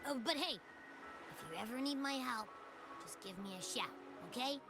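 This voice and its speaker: A woman's voice: sits high, animated, moderate pace. An actress playing a small, perky, food-loving companion girl in a video game, talking in breezy, cheeky slang. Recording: background music under the voice.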